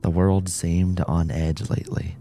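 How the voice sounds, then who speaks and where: A man's voice: low-pitched, level tone, a little slow, mellow, soft background music. A man reading a scripted story as an audiobook narrator.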